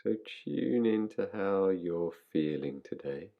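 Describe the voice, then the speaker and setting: A man guiding a relaxation meditation in a calm, unhurried, soothing way, leaving long pauses between phrases. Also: low-pitched, creaky, muffled recording. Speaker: meditation guide. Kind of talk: guided relaxation, yoga nidra meditation.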